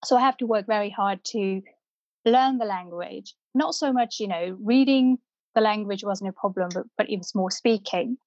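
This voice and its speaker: A woman's voice: mid-range voice, normal speed, a little mellow, dry recording, muffled recording. A middle-aged woman from India whose first language is Punjabi, telling her own life story in a reflective, unscripted way with many fillers and restarts.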